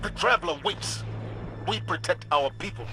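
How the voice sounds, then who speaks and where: An actor playing a stern, protective military commander in a video game, commanding and resolute. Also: male voice, sits high, animated, normal speed, background noise. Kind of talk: video game cutscene acting.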